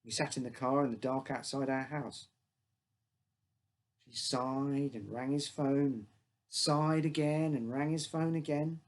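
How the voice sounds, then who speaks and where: A man's voice: medium pitch, steady tone, a little slow. A man with a British accent reading his own scripted short story, narrating in the first person as a child.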